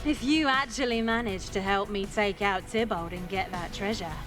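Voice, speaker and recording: A woman playing a cocky, crude, menacing female pirate in a video game, with a taunting, unhurried delivery. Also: mid-range voice, over music, lightly acted. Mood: amused, threatening.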